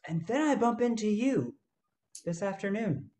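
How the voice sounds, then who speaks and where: An actress playing a genial, scheming adventurer in a radio play, with a jaunty, coaxing manner. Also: female voice, medium pitch, lively intonation, moderate pace, warm tone, lightly acted.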